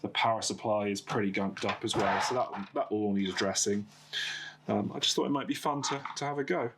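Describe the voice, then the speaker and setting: A man with a cold and a croaky voice, hosting a casual PC repair video and talking hesitantly with many fillers while he works. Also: on the low side, fairly quick, very noisy. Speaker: host of a PC repair channel. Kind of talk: casual hands-on repair talk.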